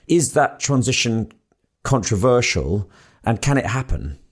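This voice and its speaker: A man's voice: medium pitch, very animated, unhurried, husky. A man hosting an interview podcast, speaking thoughtfully and often repeating words as he starts sentences. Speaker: podcast host. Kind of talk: conversational interview.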